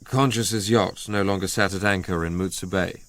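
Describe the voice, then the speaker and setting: A brooding, low-voiced young Englishman narrating. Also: fairly even intonation, a bit bright. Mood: bitter, resigned. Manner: literary, measured storytelling. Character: brooding, proud young Englishman recounting his ordeal. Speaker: first-person narrator. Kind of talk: dramatic radio drama narration.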